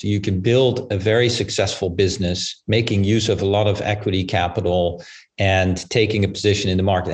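Low-voiced man explaining business. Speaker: investment firm partner, podcast guest. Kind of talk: considered interview answer, business talk.

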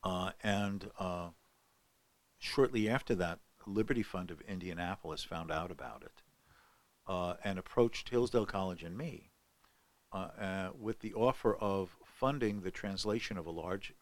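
Older man, low-pitched voice, rambling podcast story, dry close mic. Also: moderate pace, no echo. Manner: rambling, many fillers and restarts. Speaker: guest scholar. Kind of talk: podcast conversation.

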